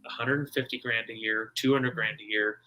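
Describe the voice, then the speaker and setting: A man's voice: medium pitch, fairly even intonation, brisk, sharp tone, low-quality recording. An American man talking casually as an interview guest, making his points in an emphatic, repetitive, colloquial way. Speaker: guest author. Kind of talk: casual conversational explaining.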